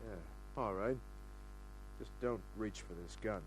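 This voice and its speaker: An actor playing a cool, sardonic private detective in an old-time radio crime drama. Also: male voice, fairly low pitch, takes it slowly, very noisy. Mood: cool, guarded. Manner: dry, sardonic, unruffled.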